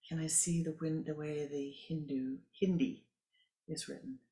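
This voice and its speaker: Musing spiritual teacher repeating for emphasis. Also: male voice, a little high, steady tone, a little slow, warm tone. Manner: emphatic repetition, musing asides. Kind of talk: sermon.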